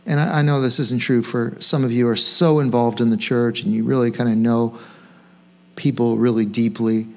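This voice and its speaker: A male pastor gives an informal, conversational sermon in a mid-pitched voice. He poses questions to himself and his listeners, then leans on key words for emphasis. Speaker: senior pastor. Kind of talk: informal sermon to own congregation.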